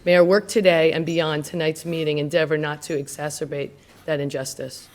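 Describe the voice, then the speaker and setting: A woman moderating a town housing panel, speaking in an earnest, measured and formal way into a handheld microphone in a meeting room. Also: low voice, level tone, darkish tone. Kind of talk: panel moderation with land acknowledgement.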